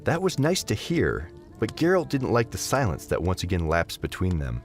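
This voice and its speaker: Male narrator, deep and very varied in pitch, expressive scripted storytelling over background music. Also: moderate pace.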